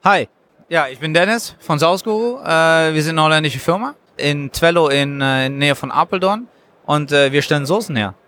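A man who makes sauces, answering interview questions at a trade fair stand in a halting, explanatory way. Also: fairly high pitch, fairly bright tone. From Germany. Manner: halting, explanatory, frequent hesitations. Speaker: sauce maker, guest. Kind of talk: casual interview.

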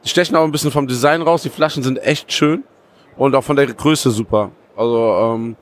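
A man's voice: mid-range voice, normal speed, a little hiss or hum. A man co-hosting a podcast, talking in an enthusiastic, slangy, rambling way at a trade fair stand. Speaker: podcast co-host. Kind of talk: casual chat.

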